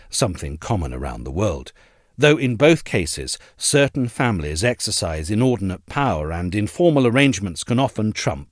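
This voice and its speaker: Low-voiced male essay narrator. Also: dry recording, clean studio sound. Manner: measured, articulate, formal.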